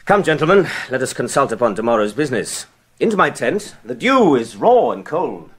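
An actor playing a bold, hopeful young nobleman rallying his army, declaiming Shakespearean verse in measured phrases with a husky voice. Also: mid-range voice, very animated. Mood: composed command. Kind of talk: classical Shakespearean stage acting.

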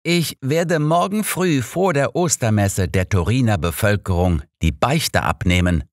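A man's voice: fairly low pitch, animated, no room sound, studio quality, voice pushed up. Man voicing a confident old master detective. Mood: eager. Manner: brisk, assured, persuasive. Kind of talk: audiobook character dialogue.

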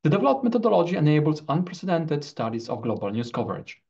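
Higher-pitched man presenting research, slightly muffled. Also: big pitch swings, fast, vocal fry, darkish tone, breathy edge. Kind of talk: scripted academic video presentation.

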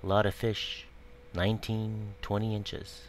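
A man's voice: lowish voice, little pitch movement, measured pace. A man narrating a fishing vlog in short, clipped, informal phrases with a promotional bent. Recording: loud outdoor water and boat noise.

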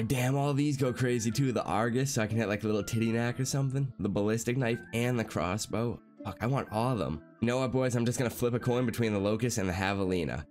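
A young man with a congested, nasal voice from a cold, chatting casually as he walks through a video game, with a steady stream of fillers. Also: fairly low pitch. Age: young adult. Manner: fast, chatty, with lots of "like" fillers. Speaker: gaming YouTuber. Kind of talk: casual gameplay commentary. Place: small bedroom.

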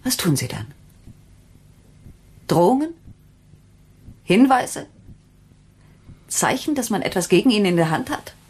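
An actress playing an anxious, probing wife in a German radio crime drama, with a husky, insistent voice. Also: female voice, mid-range voice, big pitch swings, a little slow, long pauses, a little mellow. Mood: anxious, urgent.

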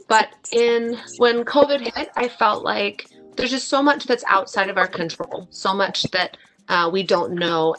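A woman's voice: mid-range voice, fairly bright tone, low-quality recording. A woman in her thirties giving informal, explanatory answers as an interview guest on a video call. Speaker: interview guest, clinical research coordinator. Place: indoor room at home, video call. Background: music.

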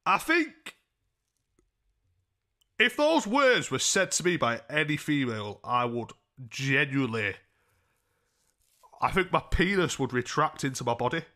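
A man's voice: fairly high pitch, big pitch swings, a little slow, long pauses, sharp tone. A British man in his twenties chatting casually on a livestream, close to a desk microphone in a room. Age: 20s. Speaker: live streamer making prank calls. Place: small indoor room.

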